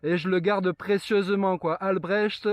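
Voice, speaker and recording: A man's voice: sits high, fairly even intonation, measured pace, no echo. A man chatting casually and explaining things as a radio operator. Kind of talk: casual radio contact chat.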